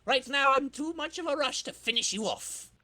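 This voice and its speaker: A man's voice: extremely high pitch, moderate pace, bright, forceful tone, no room sound, pitched above the performer's own voice, full character voice. A man voicing a cocky, scheming supervillain racer in a boastful, over-dramatic comic-book reading.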